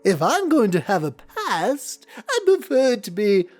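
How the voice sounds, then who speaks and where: A man voicing a deranged comic-book clown villain, theatrical, taunting and halting. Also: high-pitched, wildly swooping pitch, soft background music, voice pushed up, heavily acted. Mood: sly, musing. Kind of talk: dramatic cartoon villain acting.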